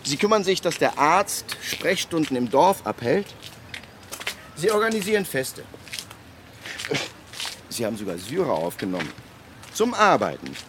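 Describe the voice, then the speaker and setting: Animated male official, background noise. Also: fairly high pitch. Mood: wry, resigned. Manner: emphatic, dramatic pauses, acts out dialogue. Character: animated male government official recounting a land restitution case. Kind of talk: conversational monologue in a radio play.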